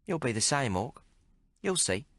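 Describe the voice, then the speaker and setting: A man's voice: medium pitch, big pitch swings, a little slow, fairly bright tone, no echo, clean studio sound, voice pushed up. A man reading aloud and voicing a coarse, fiery young female prostitute. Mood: brazen. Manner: coarse, bold, mocking.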